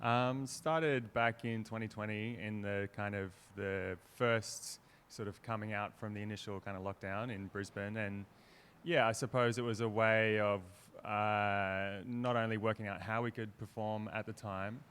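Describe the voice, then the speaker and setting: A man's voice: on the low side, fairly even intonation, a little slow, fairly bright tone, smooth. A man, explaining his work casually and thoughtfully as an interview guest. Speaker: theatre maker being interviewed.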